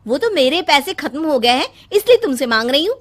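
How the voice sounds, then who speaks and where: A woman voicing a haughty, educated young sister-in-law in a cartoon story, with a sharp, condescending scolding manner. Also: high-pitched, very animated, a little fast, voice pushed up. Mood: angry, boastful.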